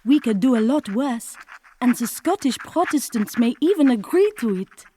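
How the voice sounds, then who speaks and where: Actress as high-voiced, mellow noblewoman. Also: expressive, moderate pace, slight background noise, lightly acted. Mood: fond, hopeful. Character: Marie of Guise, French noblewoman writing to her mother. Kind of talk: reading a letter aloud in audiobook dramatisation.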